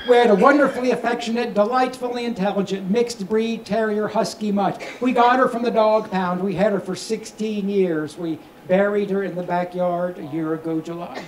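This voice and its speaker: A middle-aged man performing stand-up comedy into a handheld mic, telling stories and addressing the room. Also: high-pitched. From Kentucky, United States. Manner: storytelling delivery, projected to the room. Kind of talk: stand-up comedy routine with crowd work. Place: small comedy club stage, indoors. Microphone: handheld microphone. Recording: echoey club stage, clearly noisy.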